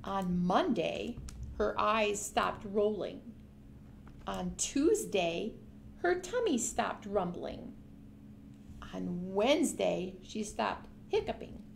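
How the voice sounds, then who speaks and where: A woman's voice: mid-range voice, lively intonation, extremely slow, vocal fry, pauses often, slight background noise. A woman storyteller reading a picture book aloud expressively, speaking directly to young children.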